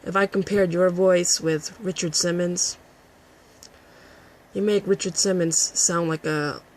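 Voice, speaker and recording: Raspy, husky woman ranting. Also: lowish voice. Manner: combative, profane ranting. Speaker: vlogger. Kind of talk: addressing a critic to camera.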